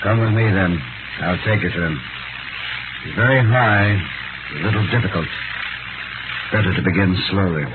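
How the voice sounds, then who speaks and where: A noisy, tinny 1930s radio recording of a male actor as a grim Parisian shopkeeper. His voice is very low. He speaks tersely and emphatically, with brooding bitterness, in vintage dramatic style. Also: moderate pace, pauses often, lightly acted. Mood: guarded, terse. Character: grim, bitter Parisian wine-shop keeper, former servant.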